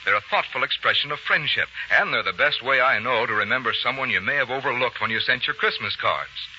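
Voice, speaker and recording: A man announcing sponsor messages on 1940s radio with a polished, deliberate salesman's delivery. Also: mid-range voice, lively intonation, bright, forceful tone. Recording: dull, faintly noisy old radio recording.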